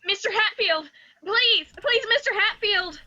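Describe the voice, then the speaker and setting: A woman's voice: very high-pitched, animated, a little slow, dull audio, background noise. An actress playing a hurt, pleading but defiant young mountain woman with an Appalachian accent in a radio drama. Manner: emotional, plain rustic speech.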